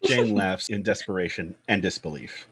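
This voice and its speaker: A man's voice: low-pitched, moderate pace, a bit bright, husky. A man reading screenplay stage directions as scripted narration.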